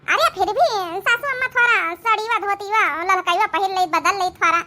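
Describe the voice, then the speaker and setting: Casting: woman as vain young Awadhi daughter-in-law, comic cartoon, stubborn chatterbox, extremely high bright voice. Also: brisk, a little hiss or hum. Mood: insistent.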